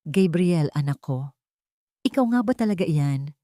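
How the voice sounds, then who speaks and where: A woman's voice: low voice, animated, measured pace, warm tone, no echo. A storyteller woman plays a frail old mother in an audio drama. She is loving and remorseful, speaking tenderly through tears in a dry, close recording. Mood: tearful joy.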